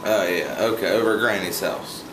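A young man with a fairly low voice, teasing and smooth-talking into a phone held close to his mouth. He makes a prank call from a home kitchen at night. Clear background noise hums under the recording. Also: a little fast. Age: young adult. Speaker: prank caller. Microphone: phone held close to mouth, camera close by.

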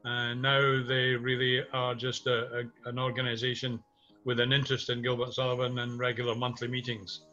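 Older scottish guy in his sixties or up on a webcam call, thoughtful, sharp bright voice, music under it. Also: mid-range voice, completely flat delivery, moderate pace. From Scotland. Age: 60s or older. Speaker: interviewee, community theatre music director. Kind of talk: reminiscing in a video interview. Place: small home room indoors. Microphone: webcam video call. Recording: muffled video call audio, music underneath.

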